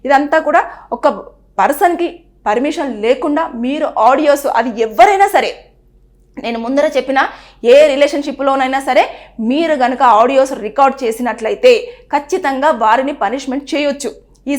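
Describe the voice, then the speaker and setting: A woman's voice: sits high, normal speed. A woman, presenting an earnest explainer that advises the viewer and acts out what people say. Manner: earnest, advising, repeats phrases and acts out quoted speech. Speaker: news presenter. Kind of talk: explainer to camera on a legal topic.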